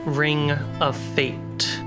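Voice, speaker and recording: A game master hosting and narrating a tabletop fantasy game in a dramatic, measured way with pauses. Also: medium pitch, flat delivery. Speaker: game master and host of the show. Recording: music behind the voice. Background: plucked guitar music.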